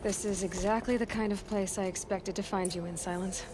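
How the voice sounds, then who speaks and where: A woman's voice: medium pitch, fairly even intonation, fairly quick, noisy background, natural voice. An actress playing a determined young warrior woman in a dramatic video game, earnest and questioning. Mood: wry. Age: young adult.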